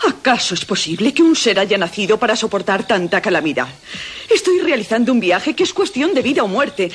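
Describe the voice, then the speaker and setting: A woman's voice: on the high side, a little hiss or hum. An actress playing a proud, middle-aged noblewoman in a Castilian Spanish radio drama, grand, formal and theatrical. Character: proud, imperious, mysterious noblewoman, a mother in haste.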